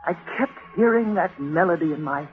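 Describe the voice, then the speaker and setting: A woman's voice: fairly low pitch, lively intonation, brisk, close to an everyday voice. An actress playing a haunted, frightened young woman in anguished, breathless 1940s radio drama style. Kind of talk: 1940s radio drama acting, with first-person narration. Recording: tinny band-limited old radio recording, music.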